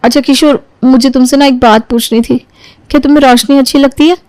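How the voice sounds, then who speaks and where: A meek young daughter-in-law as a woman narrator puts her on, overworked and dutiful. Her high voice is earnest and deferential, recorded dry for a domestic story. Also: fairly even intonation, brisk, darkish tone, dry recording, close to an everyday voice. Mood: earnest, scheming.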